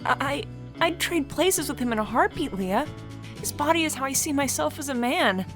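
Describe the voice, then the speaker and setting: A woman voicing an anxious, stammering middle-aged woman, pitched up. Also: lively intonation, moderate pace, over music. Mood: flustered. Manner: hesitant, stammering. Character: anxious, self-loathing middle-aged woman longing to be a man.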